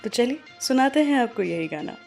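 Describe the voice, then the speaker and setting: A woman's voice: on the high side, lively intonation, fairly quick, vocal fry, darkish tone. A woman with an Indian accent presenting a radio show, telling film trivia in a conversational storytelling way with English words mixed in. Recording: music playing behind the voice. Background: background music.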